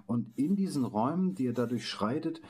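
A man's voice: medium pitch, expressive, fairly quick, darkish tone. A man informally explaining a concept, hesitant and correcting himself as he searches for words. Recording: faint background noise.

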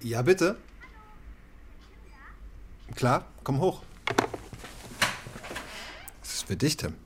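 A man's voice: medium pitch, big pitch swings, very slow, background noise. An actor playing a schoolboy's laid-back father with dry, casual humour in a children's radio play. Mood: dry joking.

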